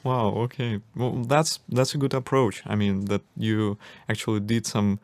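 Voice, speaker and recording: A man's voice: fairly low pitch, steady tone, moderate pace, no echo. A man hosting a podcast, asking questions in a casual way, searching for words with many fillers. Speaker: podcast host.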